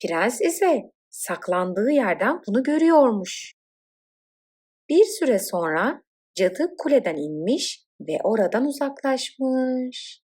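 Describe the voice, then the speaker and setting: A woman narrating a scripted children's fairy tale in an expressive, dramatic storytelling manner. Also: fairly high pitch, slow, husky.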